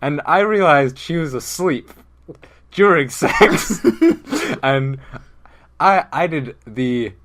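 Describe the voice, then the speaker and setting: A young man co-hosting a casual comedy podcast, chatting and telling stories in a halting, giggly way. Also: fairly high pitch, big pitch swings, a bit bright. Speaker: podcast co-host.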